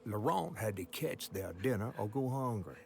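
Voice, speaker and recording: An actor voicing a folksy, wry and caring talking fish in a video game, telling stories with a gravelly Southern US accent. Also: male voice, lowish voice, noisy background. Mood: somber storytelling. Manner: folksy, expressive, unhurried storytelling. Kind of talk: theatrical storybook narration and game dialogue. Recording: music under the voice.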